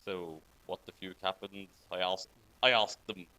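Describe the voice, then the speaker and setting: A man's voice: sits low, fairly even intonation, moderate pace, pushed and bright, low-quality recording. A man reading fan fiction aloud theatrically, with amused, giggly commentary. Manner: theatrical, hammy emphasis; giggly, breaking into laughter.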